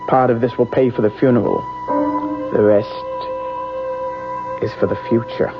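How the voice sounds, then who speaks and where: An actor playing a solemn, ominous fortune teller in a radio drama, with a gravelly, deliberate and theatrical delivery. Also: male voice, medium pitch, fairly even intonation, lightly acted. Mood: somber reproach. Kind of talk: 1970s radio drama acting. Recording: muffled radio broadcast with music.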